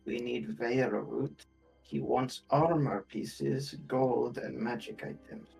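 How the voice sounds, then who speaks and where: A man's voice: mid-range voice, slow, creaky, darkish tone, slightly rough, soft background music, poor audio. A man playing in a tabletop roleplay game, talking casually in and out of character.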